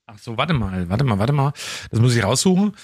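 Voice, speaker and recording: A man's voice: mid-range voice, big pitch swings, a little fast. A man co-hosting a casual podcast, emphatic and wry, repeating words to make his point. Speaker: podcast and radio co-host. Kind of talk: casual banter.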